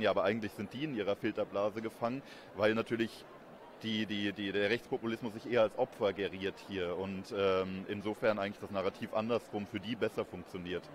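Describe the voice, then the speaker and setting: A man in his thirties giving measured, explanatory answers as an interviewed academic expert. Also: fairly low pitch, a little hiss or hum. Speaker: interviewed expert, communication professor. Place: busy event courtyard in daylight. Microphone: handheld reporter microphone held close. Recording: outdoor urban ambience behind voice.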